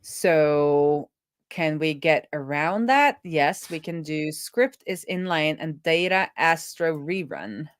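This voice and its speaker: A woman's voice: low voice, lively intonation, slow, smooth, dry recording, clean studio sound. A woman doing casual live coding commentary as a streaming developer, thinking aloud as she goes.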